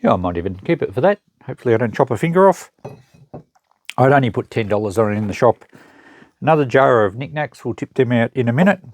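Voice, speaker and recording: A man's voice: medium pitch, lively intonation, fast. An Australian man hosting an unboxing, talking casually and matter-of-factly as he thinks aloud and shows items. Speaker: host of an unboxing channel, secondhand shop seller. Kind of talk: casual unscripted show-and-tell commentary.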